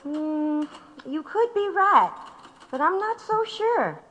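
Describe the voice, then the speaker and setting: An actress playing an affectionate, teasing young wife in an audio drama. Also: female voice, very high-pitched, big pitch swings, takes it slowly, a bit bright, a little hiss or hum, full character voice. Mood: tender, doubtful. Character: tender, teasing young wife of a labor organizer.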